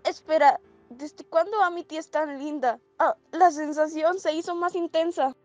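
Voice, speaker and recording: A woman voicing an excitable, lovestruck, awkward teenage girl in a fan comic dub, dramatic and pleading. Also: high voice, moderate pace, dry recording, dull audio, faint music behind, lightly acted. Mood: flustered.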